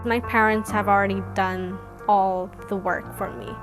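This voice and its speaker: A woman speaks reflectively and hopefully in a documentary interview. her voice is of medium pitch, with music clearly heard underneath. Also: fairly even intonation, unhurried.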